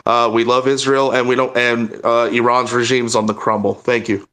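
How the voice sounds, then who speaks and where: A man in a live audio space speaks fervently, often restarting his sentences. He fills his pauses with ums as he presses his point. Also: mid-range voice, level tone, a bit bright. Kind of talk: impassioned political statement.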